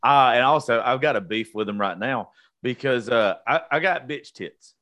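A man's voice: mid-range voice, normal speed, pushed and bright. A man, hosting a casual interview chat in a blunt, joking, foul-mouthed way. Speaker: show host.

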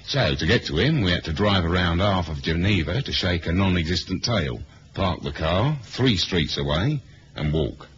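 A world-weary British spy talks in a very low register with sardonic, streetwise dryness. It is 1970s radio drama, slightly muffled with faint hiss behind. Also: male voice, expressive, normal speed. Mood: wry narration. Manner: dry, sardonic, slangy. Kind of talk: 1970s radio spy drama, acting and first-person narration.

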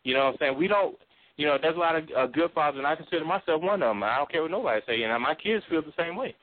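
Man, baritone, quick emphatic speech, frequent laughs, dry thin phone-line sound. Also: lively intonation, no echo. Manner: emphatic, laughs often, repeats "you know what I'm saying". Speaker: guest or co-host on a call-in talk show. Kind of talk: casual opinionated chat.

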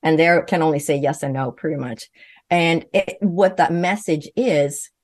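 A woman speaks in a low, deep register, earnest and fluent, though she often restarts her phrases. The sound is close and dry, with no room echo. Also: normal speed. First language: Swedish. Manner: earnest, fluent with frequent self-corrections. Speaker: guest, medium and physician. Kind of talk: conversational interview answers.

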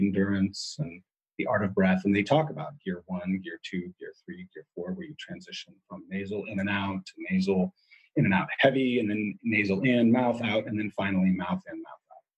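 A man's voice: fairly low pitch, breathy edge, highs cut off. A man speaking as an interview guest, explaining in an emphatic way and often repeating his key words.

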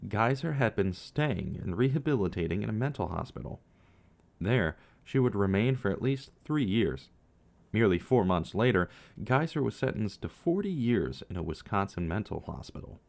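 A man giving scripted true crime narration, measured, with casual asides to the viewer. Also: on the low side, gravelly, a little mellow. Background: faint guitar music.

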